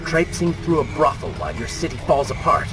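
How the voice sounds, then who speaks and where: A man playing a prince's mocking, cynical dark alter ego in a video game, with sarcastic, goading delivery. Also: on the high side, very animated, fairly quick. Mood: taunting. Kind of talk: video game cutscene acting. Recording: loud music over the voice.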